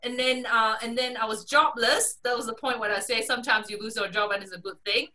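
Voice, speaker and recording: A woman in her forties, raised in Singapore, telling her career story as a guest on a video call, animated and quick to laugh. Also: recorded from a distance. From Malaysia, raised in Singapore. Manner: animated storyteller, laughs often, lively pitch swings. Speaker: guest, strategy consultant. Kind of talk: casual video-call interview.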